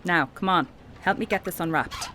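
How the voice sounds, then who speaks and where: A woman's voice: lowish voice, normal speed, bright, forceful tone, slight background noise. A woman playing a brusque, defensive archaeology professor in an audio drama, speaking formally and dismissively. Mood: brisk, businesslike. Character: renowned female archaeology professor, brusque, defensive, obsessed with her find.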